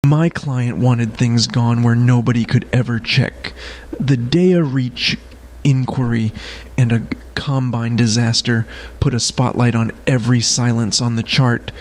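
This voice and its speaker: A guarded smuggler played by a man speaks at a middling pitch. He is weary and grave, choosing terse, deliberate words as in a science fiction audio drama. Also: fairly even intonation, a little mellow, natural voice. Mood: grim resolve. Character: guarded, weary male smuggler carrying a dangerous secret.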